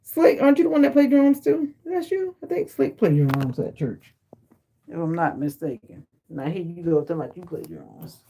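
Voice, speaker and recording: A sleepy middle-aged woman, raspy from a sinus infection, chatting casually with viewers on a phone livestream from home and teasing them by name. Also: on the low side, animated, moderate pace, warm tone, slight background noise. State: sleepy and exhausted. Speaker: livestream host. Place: at home indoors.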